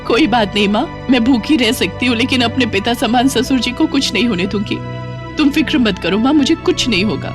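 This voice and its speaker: A selfless young daughter-in-law , played by a woman, speaks with earnest, pleading care. It is an animated moral-story performance, with music clearly playing underneath. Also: a little high, fairly quick, pitched above the performer's own voice, lightly acted. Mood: earnest, resolute.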